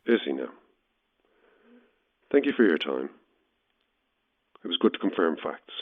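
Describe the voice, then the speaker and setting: A man's voice: rumbling low, sing-song, unhurried, husky, exaggerated voice. An actor playing a prying, menacing man posing as a reporter, asking probing questions through a telephone filter. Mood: insistent, menacing. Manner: probing, insistent. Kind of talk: audio horror drama acting. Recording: thin band-limited phone line.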